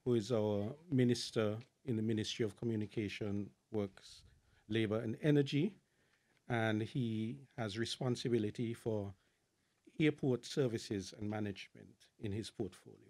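A man's voice: on the low side, moderate pace, darkish tone. A middle-aged man making a formal introduction as master of ceremonies outdoors. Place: outdoors at an airfield. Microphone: podium microphone on a stand.

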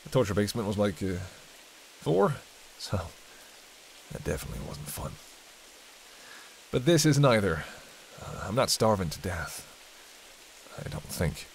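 A man's voice: lowish voice, a little slow, gravelly, pauses often, exaggerated voice, low-quality recording. A man playing a sardonic, teasing vampire in an intimate roleplay, talking in a conversational, bantering way with dry sarcasm. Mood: weary, wry. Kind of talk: intimate audio roleplay monologue. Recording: steady hiss and rain behind. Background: rain.

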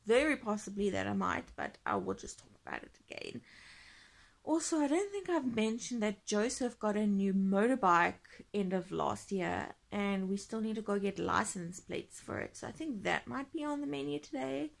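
A young woman in her twenties vlogging casually from bed, talking sleepily in a croaky, tired half-whisper into a phone held close. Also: medium pitch, sing-song, moderate pace. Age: 20s. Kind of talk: casual vlog chat. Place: bedroom, lying in bed.

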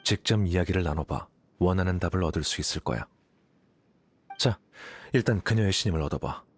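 A man playing a calm, aloof young scholar in a Korean game, explaining things in a composed, matter-of-fact way. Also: low-pitched, brisk, slightly rough. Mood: composed, detached. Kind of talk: Korean game dialogue voice acting.

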